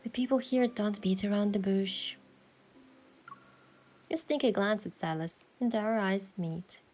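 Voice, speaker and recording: An actress playing a playful, determined young woman who narrates her romantic game adventure in a measured, expressive way. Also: mid-range voice, faint music behind, natural voice. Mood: narrating, playful. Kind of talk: first-person story narration and dialogue.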